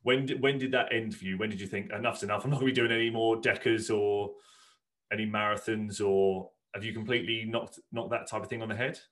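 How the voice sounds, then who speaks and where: Halting, low-voiced male podcast host. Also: fairly even intonation, fairly bright tone. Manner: halting questions with restarts and frequent "yeah" backchannels. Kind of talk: casual interview conversation.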